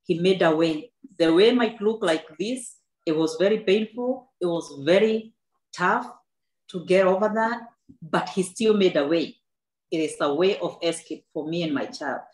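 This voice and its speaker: A woman's voice: on the low side, a little slow, a little mellow, echoey room, rough recording. A woman in her thirties, an author telling her personal story in an earnest, faith-filled and reflective way, often restarting her sentences. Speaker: author and guest. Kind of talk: personal testimony in a book club talk. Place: indoor room with a backdrop.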